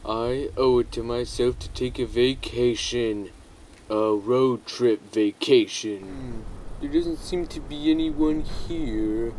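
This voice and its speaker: Marlin, a man doing a dopey slow-talking alien. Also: mid-range voice, darkish tone, a little hiss or hum, lightly acted, rough recording. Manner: childlike and goofy. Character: naive excitable male alien warrior. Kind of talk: amateur game machinima acting.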